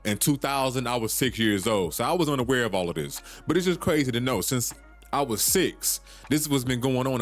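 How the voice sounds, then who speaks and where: Urgent, preachy man in his thirties. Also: medium pitch. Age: 30s. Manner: urgent, preachy, repeats himself, swears casually. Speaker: podcast host. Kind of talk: solo podcast monologue.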